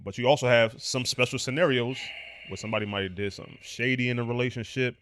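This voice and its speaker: A man's voice: mid-range voice, quick pace, fairly bright tone, slight background noise. A man in his thirties co-hosting a podcast, talking candidly and emphatically, swearing freely in casual chat. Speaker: podcast co-host.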